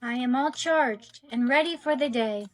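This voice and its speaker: Woman as a high-voiced curious robot girl. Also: normal speed, music in the background, natural voice. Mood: restless. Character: naive curious robot girl questioning humans. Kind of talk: short comedic skit acting.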